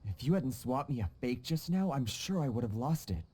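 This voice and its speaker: Earnest, timid townsman, lively pitch. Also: fairly quick, breathy edge. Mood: grateful, admiring. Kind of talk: video game dialogue acting.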